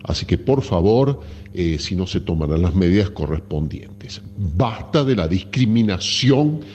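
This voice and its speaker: Guy doing a stern argentine bureaucrat, dark dull sound, telling people off formally. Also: sits low, animated, unhurried, husky, heavily acted. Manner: formal, admonishing. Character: stern, exasperated male government official issuing summons. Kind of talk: formal mock public announcement. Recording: muffled, long hall reverb, faint music.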